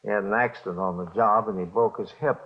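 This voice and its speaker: An actor playing a jumpy middle-aged small-time bookmaker confessing to police in halting, repetitive speech, in 1950s radio drama style. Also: male voice, on the low side, fairly even intonation, natural voice. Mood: weary, explaining. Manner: halting, repetitive, nervous hedging. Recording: dull old radio recording.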